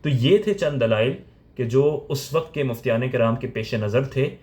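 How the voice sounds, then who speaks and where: Pakistani guy teaching religion, medium pitch, explains stuff point by point like a lecture. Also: moderate pace, a little mellow. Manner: didactic, explains step by step.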